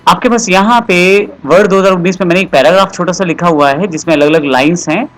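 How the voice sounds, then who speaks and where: A man narrating a step-by-step software tutorial in an explanatory, instructional way, repeating his instructions. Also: high-pitched, quick pace.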